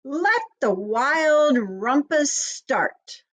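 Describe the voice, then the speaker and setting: A woman's voice: high-pitched, lively intonation, extremely slow, voice pushed up, heavily acted. A woman voicing a mischievous, bossy little boy in a storybook, with emphatic, commanding exclamations.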